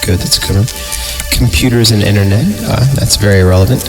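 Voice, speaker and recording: A man's voice: fairly low pitch, a little fast. A man hosting a podcast, reading horror stories and chatting about them over background music. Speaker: podcast host and story reader. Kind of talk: horror story narration and casual commentary.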